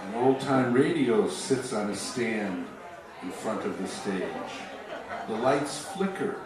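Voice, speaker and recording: A man's voice: lowish voice, expressive, slow, a crowd in the background. A man hosting a live show with theatrical, old-time radio announcing and scripted narration. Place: live stage show, picked up from afar. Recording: echoey stage, distant mic, music behind.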